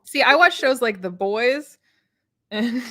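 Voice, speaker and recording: A woman's voice: a little high, animated, slow, bright, forceful tone. A woman chatting casually as a co-host, blunt and opinionated. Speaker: livestream co-host. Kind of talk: casual chat.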